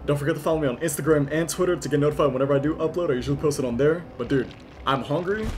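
A hoarse young man giving loud, profane commentary over his own gameplay, muttering one moment and yelling the next. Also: fairly high pitch, very fast. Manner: swings from muttering to yelling, swears a lot. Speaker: gaming YouTuber. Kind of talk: excited live gameplay commentary.

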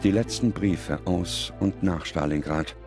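A man delivering grave, measured scripted narration as a documentary narrator. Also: low voice, over music.

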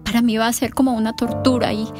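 A woman's voice: a little high, level tone, quick pace, lightly acted. An actress playing a grieving, hesitant pregnant teenage girl in a radio drama. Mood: sad, resolute. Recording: music behind the voice.

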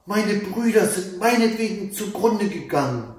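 A man's voice: high voice, animated, a little slow, voice pushed up. A man voicing a grieving, devoted young maiden in a fairy tale, earnest and plaintive. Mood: lamenting. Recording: echoey room, mic at a distance.

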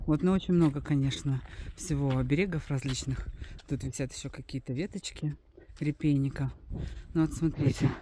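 A woman's voice: low-pitched, darkish tone, a little hiss or hum. A woman giving casual, unscripted travel commentary as a guide, explaining things hesitantly with trailing asides.

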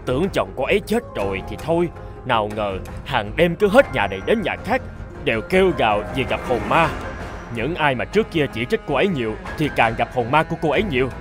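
A man acting frightened, superstitious village men in an animated folktale, with a dramatic, exclamatory delivery. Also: medium pitch, a little fast, over music, lightly acted. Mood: anxious.

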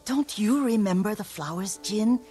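A woman playing an elderly, devoted caretaker in a video game drama, speaking tenderly and haltingly, often hushed. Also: mid-range voice, lively intonation, faint music behind, natural voice. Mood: nostalgic. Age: older. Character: elderly devoted female caretaker, gentle, wistful, stubborn.

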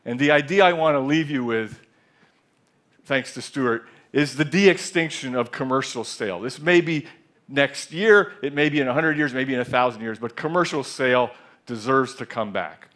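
A man in his sixties telling a measured, reflective story in a talk on a large theater stage, with a headset mic. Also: medium pitch, a bit bright. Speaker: speaker. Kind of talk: conference talk.

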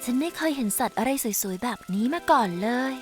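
A woman voicing a gentle, shy young female pegasus pony in a children's cartoon dub, soft-spoken and tender. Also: on the high side, expressive, quick pace, lightly acted. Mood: tender, wondering. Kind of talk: dubbed children's cartoon acting. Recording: music behind the voice.

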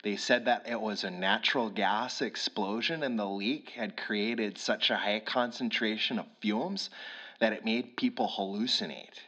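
A man playing a gossipy, blunt male police sergeant with a thick Minnesotan accent, talking low and folksy on the phone. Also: measured pace, highs cut off. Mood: confiding. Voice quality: hushed, folksy, drawling. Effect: heard over the phone.

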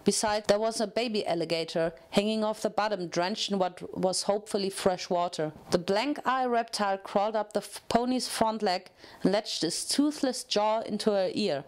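A woman reading fiction aloud as a narrator, in an expressive, dramatic storytelling manner. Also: medium pitch, moderate pace.

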